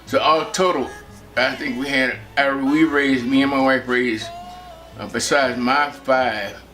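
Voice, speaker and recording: A man in his seventies from Texas, recalling his family life in a relaxed, rambling way with many pauses. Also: medium pitch, faint music behind. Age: 70s. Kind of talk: personal reminiscence.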